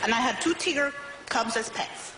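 A woman's voice: on the high side, lively intonation, moderate pace, lightly acted. A woman playing a stiff nineteenth-century male vice president in a wig, giggling through her clues on a studio stage. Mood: giggly. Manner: giggly, breaking into laughter. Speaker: costumed comedy actor. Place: TV studio stage with live audience. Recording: roomy studio with audience noise.

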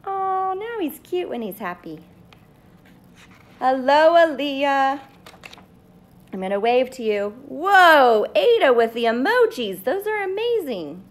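A playful woman in her thirties doing a children's read-aloud. Her voice is fairly high and very animated, and she takes her time, speaking slowly and chatting straight to the kids as a teacher would. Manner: expressive, playful, addressing children directly. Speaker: teacher reading aloud to pupils. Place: living room at home, indoors.

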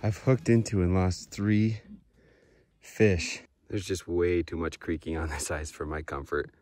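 An American man in his thirties narrating a casual fishing vlog outdoors on a frozen lake, filmed on a handheld phone and at times hushed to a murmur. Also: low voice, steady tone, unhurried. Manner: easygoing, drops to a hushed murmur while landing a fish. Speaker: fishing vlogger. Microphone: handheld phone camera.

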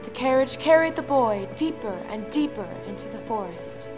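A woman narrates a folktale audio drama in a high voice. Soft music plays behind her scripted lines. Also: expressive, moderate pace.

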